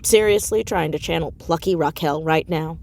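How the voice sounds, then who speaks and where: An actress playing a blunt, wary, skeptical woman with a husky voice in a comedic audio drama. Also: sits low, moderate pace, a little mellow.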